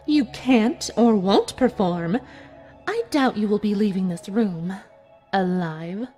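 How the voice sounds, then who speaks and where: A woman voicing a cold, bitter, vengeful unicorn villain in a dramatic fan fiction audio reading, speaking in a theatrical, deliberate way. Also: mid-range voice, animated, mellow, faint music behind. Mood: menacing, controlled.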